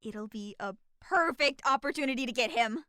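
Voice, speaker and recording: A woman's voice: sits high, expressive, moderate pace, pushed and bright, no room sound, clean studio sound. A woman playing a bubbly, eager detective's colleague in an audio drama, perky and theatrical. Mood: encouraging.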